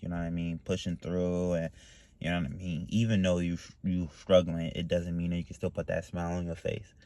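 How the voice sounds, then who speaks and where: A man's voice: low-pitched, darkish tone, no echo. A man from Ohio talking to camera in a loose, meandering way, full of fillers and "you know what I mean.". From Dayton, Ohio, US. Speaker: vlogger. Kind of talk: casual rambling monologue.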